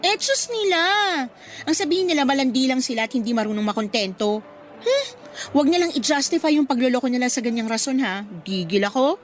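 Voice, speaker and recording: An actress playing a feisty, gossipy mistress in a Filipino radio drama, with a sassy, exclamatory delivery. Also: female voice, high voice, very animated, brisk, faint music behind. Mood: indignant. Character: feisty, gossipy mistress venting to her fellow mistress.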